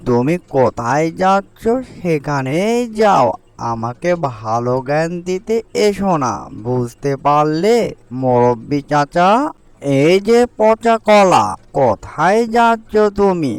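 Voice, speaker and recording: A man's voice: fairly high pitch, lively intonation, slow pace, clear, resonant tone, no room sound. A man voicing a rude, quarrelsome cartoon crow with a taunting, scolding manner. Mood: mocking, irritated. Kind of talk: cartoon character dialogue.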